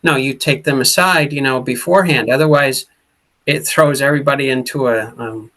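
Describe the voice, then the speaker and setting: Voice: mid-range voice, moderate pace, fairly bright tone, rough recording. An interview host asking questions in a casual, hesitant way, with many restarts.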